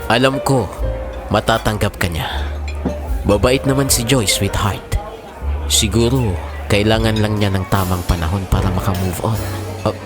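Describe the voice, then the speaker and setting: Widowed father, played by a man, lowish voice, placating and earnest, audio drama with clear background music. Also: moderate pace, long pauses, close to an everyday voice. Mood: reassuring. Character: widowed father, defensive yet tender with his sweetheart.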